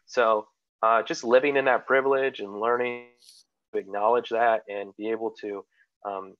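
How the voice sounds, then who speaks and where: A man in his thirties talks earnestly over a video call, his voice on the low side. The webcam audio is dull and muffled, with a dry, close sound and no room around it. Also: fairly bright tone, dry recording. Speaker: comics writer guest. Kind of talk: casual panel conversation. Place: indoor room.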